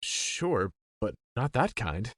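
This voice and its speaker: A man's voice: mid-range voice, sing-song, takes it slowly, a bit bright, breathy edge, dry recording, clean studio sound, exaggerated voice. A man playing an earnest, plain-spoken, easily frustrated young hero in a space audio drama. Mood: questioning.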